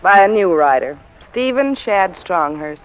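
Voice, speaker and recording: A woman's voice: lowish voice, big pitch swings, a little slow, smooth. An actress playing an earnest, eager woman employee of a publishing house in a 1940s radio comedy. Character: earnest, enthusiastic female publishing-house employee pitching manuscripts. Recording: dull, lo-fi 1940s radio recording.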